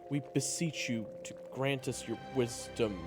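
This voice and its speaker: A man playing an earnest, easily flustered adventurer in a fantasy audio roleplay, excitable and prone to stammering. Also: medium pitch, a little slow, lightly acted. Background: music behind the voice.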